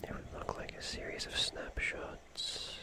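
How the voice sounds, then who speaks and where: An ASMR streamer speaks entirely in a whisper. The delivery is very slow and halting, with phrases drawn out in a musing monologue.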